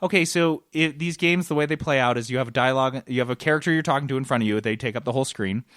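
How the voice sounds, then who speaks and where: A man's voice: mid-range voice, racing, fairly bright tone, no echo. Male podcast co-host, enthusiastic and wordy, casual game chat, very dry close sound. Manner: enthusiastic, rambling explainer.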